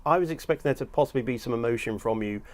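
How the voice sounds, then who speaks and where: A man's voice: mid-range voice, very fast. A man hosting an interview in a recording studio, chatty and encouraging, asking long rambling questions. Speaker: host and audiobook producer. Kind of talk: casual interview chat.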